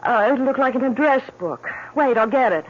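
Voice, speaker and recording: A woman's voice: sits high, lively intonation, normal speed. An actress playing an anxious, stubborn young woman with an American accent in an old-time radio mystery, earnest and hesitant. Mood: earnest, insistent. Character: anxious, stubborn young woman probing her sister's death. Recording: dull, hissy old radio broadcast.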